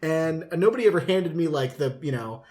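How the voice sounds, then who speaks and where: Jokey, higher-voiced man bantering. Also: a little fast. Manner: profane, jokey, animated storyteller. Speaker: podcast co-host. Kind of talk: casual banter among friends.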